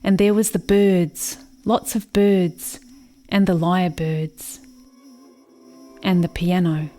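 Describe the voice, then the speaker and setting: A woman's voice: on the low side, fairly even intonation, slow, dark tone, soft background music. A woman playing a dreamy, haunted settler girl in a radio play, speaking in an incantatory, repetitive monologue. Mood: dreamy, wistful. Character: haunted, dreamy settler girl mourning her lost brother.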